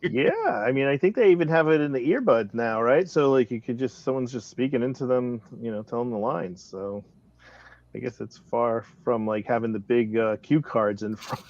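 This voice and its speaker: A man's voice: medium pitch, moderate pace, a little mellow, no room sound, lo-fi sound. A man chatting casually in a rambling way, punctuating his talk with chuckles. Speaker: director on a production discussion panel. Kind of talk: casual chat.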